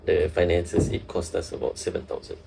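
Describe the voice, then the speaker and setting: A young man from Singapore with a Singaporean accent, a nurse talking as a guest in a casual interview, earnest and halting, often restarting his sentences. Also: low voice, monotone, vocal fry, crowd noise.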